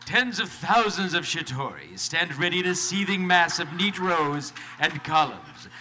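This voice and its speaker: A man's voice: fairly high pitch, expressive, a little slow, bright, forceful tone. A man narrating stage directions dramatically at a live convention reading, with playful asides. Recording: live convention stage, clear background noise.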